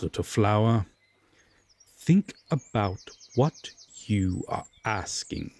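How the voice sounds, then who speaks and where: A man playing a solemn, regretful Fae king with hidden tenderness, speaking formally and with measured pauses in an audio roleplay drama. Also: on the low side, gravelly, darkish tone, dry recording, full character voice. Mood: pleading, warning. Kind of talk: slow audio roleplay drama addressed to the listener.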